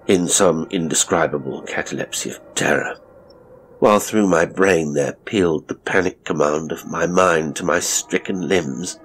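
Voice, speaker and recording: Male horror narrator, very low register, grave and suspenseful delivery, faint ambient noise under the read. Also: low-quality recording. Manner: suspenseful, deliberate phrasing, grave and theatrical. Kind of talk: dramatic audiobook narration of classic horror.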